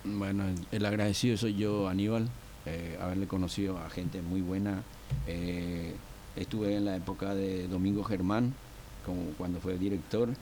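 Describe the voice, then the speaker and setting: An older man from Argentina, a guest on a radio show, telling his story in a rambling, halting way with many fillers. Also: deep voice, fairly even intonation, mellow, a little hiss or hum. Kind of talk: casual radio interview.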